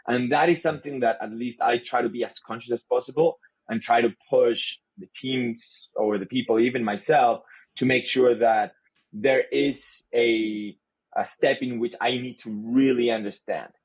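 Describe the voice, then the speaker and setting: Man, Spanish native speaker, husky tone, mid pitch, earnest podcast guest, repeats and restarts words. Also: fairly even intonation, normal speed, rough recording. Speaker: guest, sales leader. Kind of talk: casual podcast conversation.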